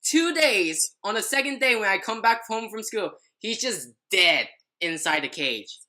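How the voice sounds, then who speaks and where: A woman's voice: mid-range voice, expressive, a little slow, pushed and bright. A young adult woman telling a personal story in casual podcast chat, rambling and often stumbling over her words.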